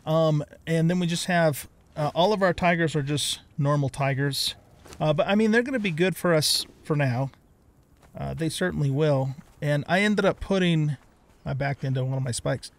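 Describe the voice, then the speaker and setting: Older American male gaming host, somewhat higher-pitched voice, folksy and easygoing, casual let's play narration. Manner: folksy, easygoing, thinks aloud.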